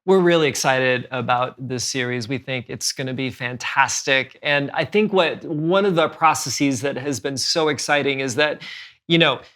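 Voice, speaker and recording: A man's voice: mid-range voice, normal speed, a bit bright. A man hosting a podcast in a conversational style, speaking in an earnest, encouraging manner. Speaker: podcast host.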